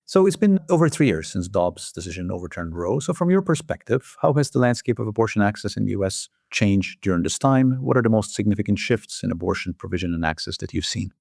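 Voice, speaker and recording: Guy hosting an interview, fast talker, bit of fry in the voice, dry close sound, formal wording. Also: on the low side, animated, darkish tone, no room sound. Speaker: host and interviewer, journal editor. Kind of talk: scripted introduction and interview questions.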